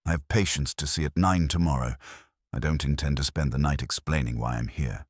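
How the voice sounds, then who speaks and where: Guarded, quiet, deep-voiced doctor played by a man. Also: fairly quick, breathy edge, dry recording, clean studio sound. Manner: quiet, terse, warning. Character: guarded, weary male society doctor.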